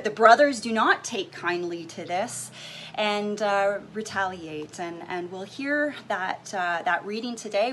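Halting woman minister, noisy recording. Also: mid-range voice, a bit bright. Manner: halting, searching for words. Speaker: minister introducing scripture. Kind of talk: informal, unscripted sermon introduction. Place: indoor room, video recording. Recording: background noise, room ambience.

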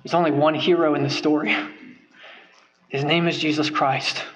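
A man's voice: a little high, level tone, normal speed, fairly bright tone, dull audio, soft background music. A young man preaching from a church pulpit, earnest and emphatic, stressing points by repeating phrases. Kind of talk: sermon. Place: church sanctuary, at a wooden pulpit. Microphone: pulpit microphone.

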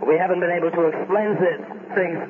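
An actor playing an earnest American clinic doctor, explaining technical findings with frustration in a 1970s radio drama interview. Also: male voice, sits high, fairly quick, close to an everyday voice. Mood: sober, frustrated. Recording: tinny, noisy 1970s radio recording.

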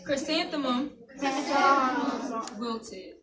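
A woman's voice: high voice, lively intonation, extremely slow. A woman reading a picture book aloud to a class of children, stopping to prompt them with questions. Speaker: guest reader at a school. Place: large classroom full of seated children. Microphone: phone from back of room. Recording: distant phone recording, roomy, noisy children. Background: loud children's chatter and clicks.

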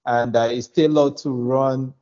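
A man's voice: mid-range voice, steady tone, a little slow, a little mellow. A middle-aged man hosting a casual tech discussion over a video call from an office. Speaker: tech show host. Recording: slightly dull video-call audio.

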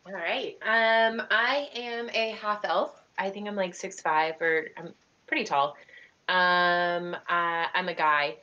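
Lady gamer, low-ish bright voice, slow and hesitant, muffled call. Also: fairly even intonation. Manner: hesitant, casual with fillers. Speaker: player. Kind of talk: in-character self-description. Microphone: webcam video call.

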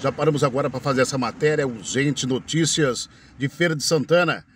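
A man's voice: mid-range voice, brisk, slight background noise. A man, hosting an urgent news report to a phone camera from inside a parked car. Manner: urgent, announcing tone. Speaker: news show host. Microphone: phone held at arm's length.

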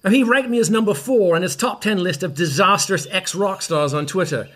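Man playing a pompous high-voiced washed-up rock star. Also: fairly quick, fairly bright tone. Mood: bitter scorn. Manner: pompous, petulant. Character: vain washed-up rock star, grandiose, hungover, sarcastic. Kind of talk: scripted audio comedy acting.

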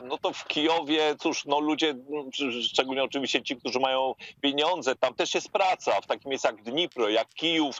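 A man in his fifties reports live from outside on a street, full of fillers and pauses. His voice comes through a video-call line that sounds dull and lo-fi, with a mid-pitched tone. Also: a bit bright. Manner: hesitant, many fillers and pauses. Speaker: war correspondent. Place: outdoors on a residential street with trees. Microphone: earphone headset on a video link.

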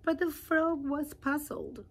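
A middle-aged woman reading a children's storybook aloud in an animated way, with dramatic pauses and questions to her listeners. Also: high-pitched.

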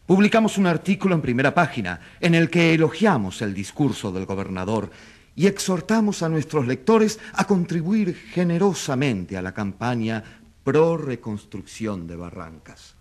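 A man playing an indignant opposition newspaper editor testifying in a radio courtroom drama, with emphatic, rhetorical delivery. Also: medium pitch.

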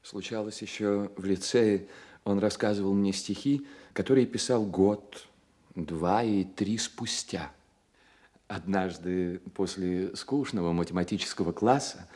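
An actor playing a proud, witty young poet in a radio drama, with measured, declamatory delivery. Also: male voice, on the low side, darkish tone, husky. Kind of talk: Soviet radio drama acting.

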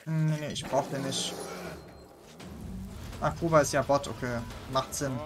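A man's voice: mid-range voice, fairly even intonation, unhurried, loud music behind the voice. A German man hosting a gaming video, chatting casually and laughing often while he plays. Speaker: gaming channel host. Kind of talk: casual gameplay chat.

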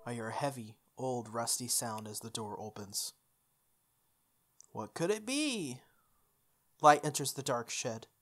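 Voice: medium pitch, animated, unhurried, long pauses, a bit bright. A playthrough host reading visual novel text aloud in a playful way, with casual asides. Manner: playful, interjects informal comments on the script. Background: game music.

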